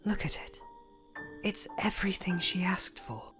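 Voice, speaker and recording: A man's voice: sits high, expressive, measured pace, over music. A man playing a stubborn young pony glassblower, earnest and defensive, in an audio story. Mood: confident. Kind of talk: audio story character dialogue.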